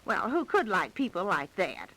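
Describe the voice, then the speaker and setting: A woman's voice: mid-range voice, huge pitch swings, moderate pace, sharp tone, full character voice, low-quality recording. An actress playing a worried, nosy middle-aged housewife in a 1930s radio drama, hesitant and folksy in her talk. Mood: anxious, gossipy. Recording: vintage 1930s radio broadcast.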